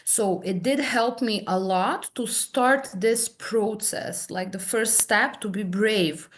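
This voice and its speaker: A woman, a therapy client describing her experience in halting, searching speech full of restarts. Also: medium pitch, slight background noise. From Serbia. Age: 30s. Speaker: hypnotherapy client guest. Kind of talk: personal testimony in conversation.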